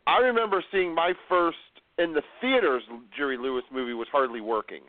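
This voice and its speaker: Chatty forties host, thin tinny sound. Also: male voice, on the high side, brisk, no room sound. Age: 40s. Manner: chatty, rambling. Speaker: podcast host. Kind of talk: casual interview. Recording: tinny phone-line sound, dry.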